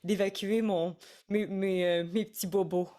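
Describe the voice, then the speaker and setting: A woman's voice: mid-range voice, steady tone, measured pace, warm tone. A young woman with a Quebec French accent, a singer-songwriter chatting casually in an interview and often restarting her words.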